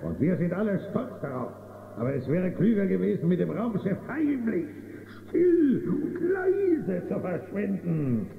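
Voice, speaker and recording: Nagging outlaw sidekick, high creaky voice, big hall reverb, radio play. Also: male voice, sing-song, measured pace, full character voice. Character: blustering, nagging male henchman of an outlaw. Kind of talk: German children's audio drama acting. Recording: reverberant, narrow phone-like band, faint noise.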